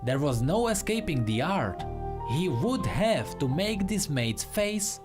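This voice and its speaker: Slow, expressive male story narrator. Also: fairly high pitch, music in the background. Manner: measured, theatrical, acts out dialogue. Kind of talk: scripted dramatic narration.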